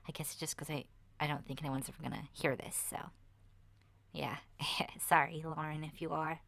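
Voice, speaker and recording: A woman voicing a chatty, candid young girl surviving a zombie apocalypse in a soft, breathy, half-whispered ASMR roleplay, talking in a hesitant, rambling way. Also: sits low, fairly even intonation, normal speed, no room sound. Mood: apologetic. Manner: hesitant, rambling, youthful casual phrasing.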